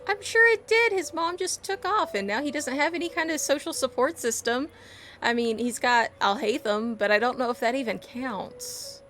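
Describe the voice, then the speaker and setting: A woman's voice: high voice, normal speed. A woman giving casual, playful, reactive commentary as she streams a game. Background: soft game music.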